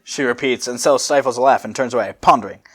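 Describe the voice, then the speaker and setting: A man's voice: mid-range voice, fast talker, fairly bright tone. A man hosting a casual comedic story reading in a jokey, informal manner. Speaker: fan fiction reader and host.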